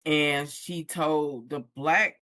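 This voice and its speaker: A woman speaking as a podcast guest in an unhurried, candid way, dropping slang as she tells her story. Her voice is dry and close, with no room around it. The delivery is loose conversation, not a script. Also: low voice, fairly even intonation, bright, forceful tone, dry recording. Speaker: podcast guest, book influencer. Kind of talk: casual conversation.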